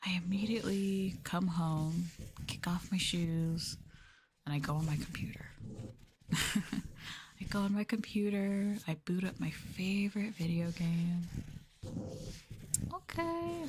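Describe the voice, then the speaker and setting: A woman doing a live ASMR stream, talking softly and breathily to viewers in a gentle, subdued way, often dropping into a whisper close to the mic. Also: medium pitch, slight background noise. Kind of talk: ASMR soft talking, casual chat with viewers. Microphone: close ASMR microphone.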